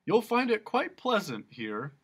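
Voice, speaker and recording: A man's voice: fairly high pitch, big pitch swings, moderate pace, pitched above the performer's own voice. A man voicing a blustering, self-important male policeman who is also judge and jailer. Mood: pompous, booming. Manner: loud self-important proclamations.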